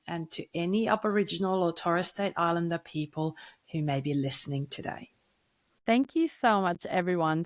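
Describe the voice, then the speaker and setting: A woman's voice: lowish voice, no room sound. Earnest Finnish-accented woman in her thirties. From Finland. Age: 30s. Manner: earnest, openly nervous, heartfelt. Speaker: podcast host. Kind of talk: solo podcast monologue.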